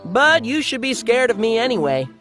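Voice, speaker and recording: Storybook monkey hero, played by a woman: hot-tempered, cocky, animated delivery with a sharp edge. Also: fairly high pitch, normal speed, faint music behind. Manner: brash, indignant. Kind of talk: children's audiobook character voice.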